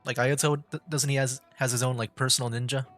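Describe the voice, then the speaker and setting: Laid-back male streamer chatting over quiet game music. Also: medium pitch, level tone, quick pace. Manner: giggly, rambling asides. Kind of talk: casual live-stream commentary.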